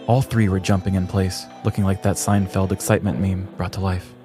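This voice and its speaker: A man with a fairly low voice narrates a scripted story for a podcast. His delivery is measured and dramatic, and music plays faintly behind him. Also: little pitch movement, mellow. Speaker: podcast host. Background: background music.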